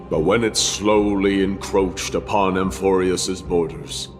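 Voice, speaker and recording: An actor playing a solemn, weary warrior in a video game, speaking gravely and with measured deliberation. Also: male voice, on the low side. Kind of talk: dramatic video game voice acting.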